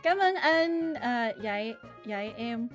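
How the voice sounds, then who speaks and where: High voice woman streamer, giggly and sorry-sounding, faint music behind. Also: lively intonation, a little slow, highs cut off. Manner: giggly, apologetic, switches to Vietnamese for chat. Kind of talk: casual chat while streaming a game.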